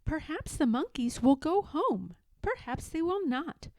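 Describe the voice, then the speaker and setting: A woman voicing a calm, wise woman artist in a storybook, advising in a measured manner. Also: high voice, no room sound, voice pushed up, full character voice. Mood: gentle advice. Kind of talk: storybook character voice.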